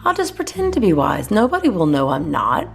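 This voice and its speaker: A woman's voice: on the low side, huge pitch swings, brisk, vocal fry, exaggerated voice. A woman voicing a playful, silly young boy owl in a children's storybook, eager and sing-song. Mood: confident.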